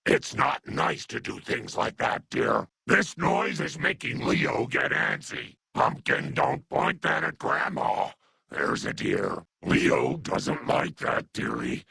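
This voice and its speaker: A man voicing a doting, scolding, unhinged elderly mutant grandmother in a video game, with a gravelly, husky, breathy voice and cooing pet names. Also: mid-range voice, big pitch swings, slow, sharp tone, dry recording, exaggerated voice. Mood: doting, chiding. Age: older. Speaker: video game companion character. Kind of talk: video game character acting.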